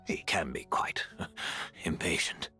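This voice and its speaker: An actor playing a polite, unhurried male mentor in video game dialogue. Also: pushed and bright, whispered, faint music behind.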